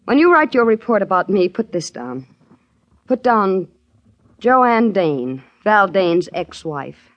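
A brittle, bitter divorcée. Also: female voice, medium pitch, sing-song, slow pace, highs cut off. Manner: brittle, genteel, cutting. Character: bitter, cool divorced mother, middle-aged. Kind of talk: 1950s radio drama acting.

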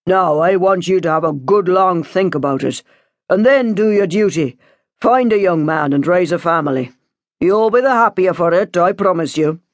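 A woman voicing a paternal male parish priest in an audiobook, measured, coaxing and moralising. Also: on the low side, expressive, dry recording, natural voice.

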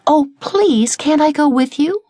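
A woman voicing a tiny, shy young female caterpillar, high and pleading, close to tears. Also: expressive, measured pace, warm tone, dry recording.

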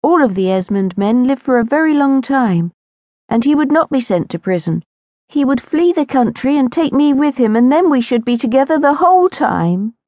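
Woman doing a lonely, prim young aristocratic girl, raised high and a touch louder. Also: lively intonation, moderate pace, no room sound. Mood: earnest. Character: lonely, old-fashioned, serious young noble girl.

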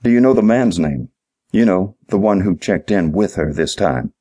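A man's voice: low voice, animated, normal speed, mellow, breathy edge. Man playing a sarcastic, exasperated police detective. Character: male police detective, weary, sarcastic, impatient with fools.

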